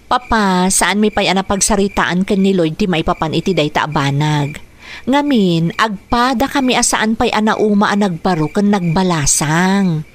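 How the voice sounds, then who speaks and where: A woman's voice: medium pitch, normal speed, close to an everyday voice. A woman playing an earnest, protective young daughter in a radio drama, talking in a pleading manner. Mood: exasperated. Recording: faintly noisy radio drama track.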